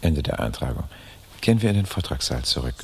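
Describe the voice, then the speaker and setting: A man speaking in a low-key narrating style on an old radio recording. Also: big pitch swings, quick pace. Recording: faintly noisy 1950s radio recording.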